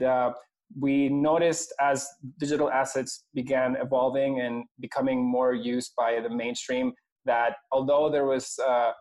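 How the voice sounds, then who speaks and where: A man from Venezuela, a company president answering interview questions earnestly, with frequent pauses and restarts. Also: medium pitch, a little mellow, rough recording.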